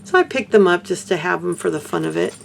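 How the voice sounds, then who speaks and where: An older woman from Texas, hoarse, chatting casually to camera in a rambling way about her crafts and life. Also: fairly low pitch, expressive, fast talker, a little hiss or hum. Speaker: beadwork vlogger.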